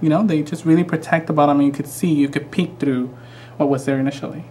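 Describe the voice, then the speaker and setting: A man's voice: fairly high pitch, steady tone, fast, a little mellow, a little hiss or hum. A man with a Mexican American accent demonstrating a craft project live, chatty and easygoing with frequent ums. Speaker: craft instructor.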